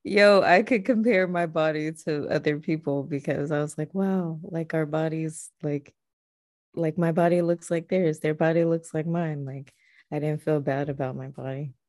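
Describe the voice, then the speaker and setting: Deep-voiced, laid-back woman co-host. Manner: relaxed, brief agreeing replies, quick when telling a story. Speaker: podcast co-host. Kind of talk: casual conversational chat.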